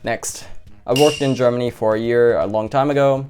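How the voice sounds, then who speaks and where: A man with a Japanese accent hosting a cooking channel, calmly reading out viewer questions and answering them. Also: mid-range voice, soft background music. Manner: calm, gentle, unhurried.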